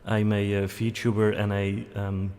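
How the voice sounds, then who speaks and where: Lowish-voiced Dutch guy rambling on stream. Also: completely flat delivery, a little slow, a little mellow, clear, resonant tone. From Netherlands. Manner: rambling, filler-heavy, self-deprecating humor. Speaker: VTuber streamer. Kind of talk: casual livestream self-introduction.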